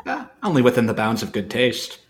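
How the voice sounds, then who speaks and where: Guy doing a calm publisher on the witness stand, mild n matter of fact, medium pitch, amateur dramatic reading. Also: brisk, natural voice. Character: mild, unruffled male comic book publisher testifying at a hearing.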